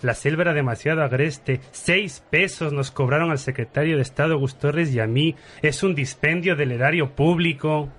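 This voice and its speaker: A man's voice: on the high side, moderate pace, hardly any pauses, fairly bright tone. A man playing a pompous, gossipy viscount with formal, ornate speech in a parody radio soap opera. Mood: conspiratorial. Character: pompous, gossipy male viscount, government right-hand man.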